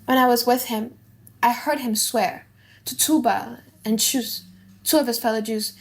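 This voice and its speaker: A woman's voice: a little high, steady tone, measured pace. A woman voicing a grave male messenger who brings bad news, emphatic, in an audiobook play. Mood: urgent. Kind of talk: dramatic audiobook reading of a play.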